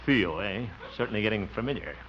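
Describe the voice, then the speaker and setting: An actor in an old radio comedy playing an insecure, exasperated young amateur play director with an American accent. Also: male voice, on the low side, animated, a little fast, lightly acted. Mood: jealous, sarcastic. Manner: self-pitying asides, exasperated outbursts. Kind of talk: 1940s-50s radio comedy acting. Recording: dull, noisy old-time radio recording.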